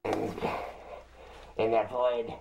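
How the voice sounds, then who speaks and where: Man as a very slow, gravelly demonic herald. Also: on the low side, flat delivery, background noise, exaggerated voice. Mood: ominous, menacing. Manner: slow, menacing, solemn. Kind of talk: amateur fantasy audio drama narration.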